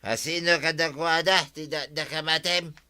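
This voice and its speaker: Stubborn, indignant village drunkard, piercingly bright and forceful, fairly high, radio comedy. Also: male voice, steady tone, normal speed, clear, resonant tone. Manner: indignant, argumentative. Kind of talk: comedic radio drama acting.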